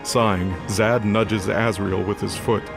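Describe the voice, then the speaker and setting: Male narrator for fantasy audio drama, low voice, scripted dramatic read over music. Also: fairly even intonation, unhurried, creaky. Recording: music bed behind voice.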